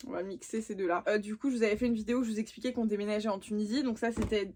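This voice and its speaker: A woman's voice: mid-range voice, fairly even intonation, racing, mellow, a little hiss or hum. A young adult woman from France, chatting informally and using slang in a casual makeup vlog. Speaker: vlogger.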